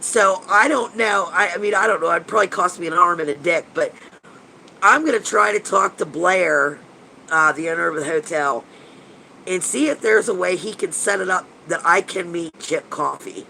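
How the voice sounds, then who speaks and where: A man in his fifties talks with a bright, pressed-forward edge, a little high for a man. He sounds stuffy and nasal, and he rambles and gushes, often restarting his sentences. A faint hiss sits under his voice. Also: moderate pace, gravelly, poor audio. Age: 50s. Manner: rambling and gushing, with frequent restarts and casual swearing. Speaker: paranormal investigator hosting a live chat. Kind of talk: casual livestream chat answering viewers.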